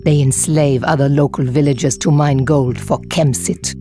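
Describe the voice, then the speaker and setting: A solemn, determined priestess of ancient Egypt, voiced by a woman. Her voice is notably low and measured, in dramatic game cutscene style, with loud music behind. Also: fairly even intonation, lightly acted. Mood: grave. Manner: grave, measured.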